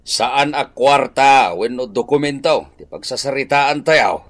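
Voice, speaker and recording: A man with a Filipino accent playing a bossy, exasperated village captain in a radio drama, scolding with emphatic, drawn-out words. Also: medium pitch, expressive, a bit bright. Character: exasperated village captain bossing his staff around.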